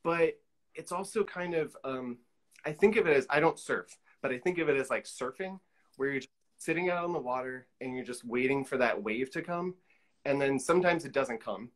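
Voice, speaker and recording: A man's voice: mid-range voice, moderate pace. A man in his forties chatting as an interview guest on a video call, thinking aloud in a tentative, informal way. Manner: tentative, thinking aloud, hedging with "like" and "you know". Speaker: guest artist. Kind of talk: casual interview chat. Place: indoor room on a video call.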